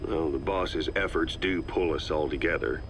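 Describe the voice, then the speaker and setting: An actor playing a gruff, wry mercenary soldier in a video game, with a dry, folksy manner. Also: male voice, very deep voice, moderate pace, background noise. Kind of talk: scripted dramatic game dialogue.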